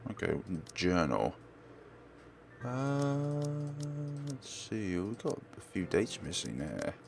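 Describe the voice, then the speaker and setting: A man's voice: low-pitched, huge pitch swings, slow, gravelly, soft background music. A man hosting a game playthrough, switching between dramatic read-aloud narration and casual commentary. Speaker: let's play host.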